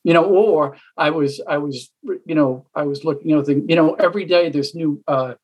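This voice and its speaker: A middle-aged woman speaking as a panelist, answering in a measured, thoughtful way with some halting pauses. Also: deep voice, darkish tone, husky, low-quality recording.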